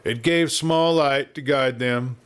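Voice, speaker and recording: A man with an American accent reading a Western story as an audiobook narrator, in a measured, dramatic storytelling style. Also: medium pitch, fairly bright tone, clean tone.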